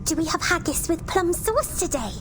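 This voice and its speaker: A woman's voice: extremely high pitch, animated, normal speed, noisy background. An actress voicing an eager, curious young noble lady who talks in a wide-eyed way.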